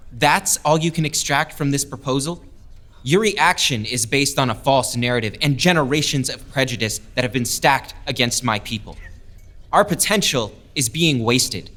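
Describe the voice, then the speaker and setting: A man's voice: mid-range voice, animated, measured pace, a bit bright. An actor playing an earnest young male lieutenant, a proud outsider making his case in an audio drama. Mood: defiant. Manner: impassioned, oratorical. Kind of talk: full-cast audio drama speech.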